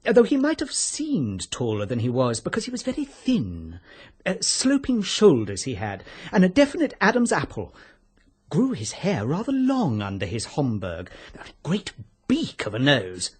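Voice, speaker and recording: An actor playing a chatty, self-important older chemist with an English accent and a fussy, precise manner in a radio drama. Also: male voice, on the high side, wildly swooping pitch, normal speed, breathy edge, exaggerated voice. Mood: eager, confiding. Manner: fussy, precise, hesitant openings.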